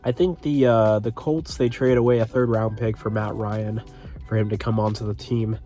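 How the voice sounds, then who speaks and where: A man's voice: lowish voice, fairly even intonation, moderate pace, warm tone, faint music behind. A young man with a congested, sniffly voice, hosting his own channel and talking casually about football, rambling with fillers and restarts. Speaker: sports commentary channel host. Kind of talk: casual solo commentary.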